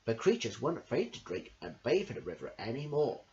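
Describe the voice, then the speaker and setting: A man reads a children's story in an expressive, sing-song style. His pitch sits in the middle and swings widely from phrase to phrase. The home recording is dull and lo-fi. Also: fast talker. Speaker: amateur storyteller, channel host.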